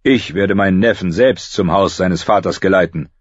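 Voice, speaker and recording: A man voicing a stern, commanding priest with a deep voice in an audiobook. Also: moderate pace, breathy edge, dry recording, highs cut off. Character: stern, austere priest uncle, tall holy man. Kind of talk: audiobook character voice.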